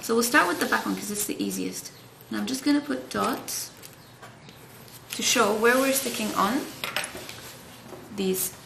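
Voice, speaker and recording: A woman's voice: a little high, very animated, a little slow, pauses often. A woman in her thirties, encouraging as she gives casual step-by-step craft instructions at a table at home. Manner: encouraging, praising. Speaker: craft tutorial host and mother. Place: indoors at a home dining table. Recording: roomy echo, clear background noise and clicks.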